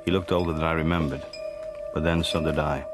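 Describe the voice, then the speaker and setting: Super deep guy narrating a spy radio play, dry and low-key, music behind. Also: fairly even intonation, fairly quick. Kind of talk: first-person radio drama narration.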